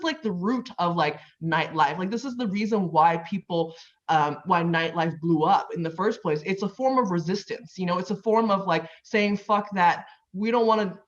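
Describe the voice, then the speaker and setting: A woman on a roundtable panel talking passionately in a casual way, full of filler words. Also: fairly low pitch. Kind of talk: casual discussion. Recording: dull lo-fi audio.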